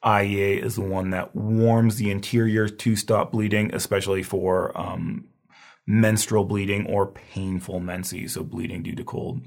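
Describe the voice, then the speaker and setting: A man's voice: fairly low pitch, little pitch movement, a little slow, gravelly, darkish tone. A man with a scratchy voice that is giving out, teaching a review lesson in a loose, rambling way with lots of fillers. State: losing his voice, scratchy. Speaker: herbal medicine instructor. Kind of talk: casual lecture review.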